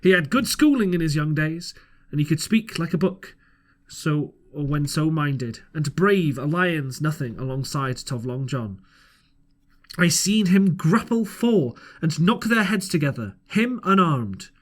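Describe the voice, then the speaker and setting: A man's voice: fairly high pitch, animated, unhurried. A man voicing a wily, experienced old coxswain in a storybook, with plain-spoken sailor's talk. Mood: admiring. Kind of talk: storybook character reading.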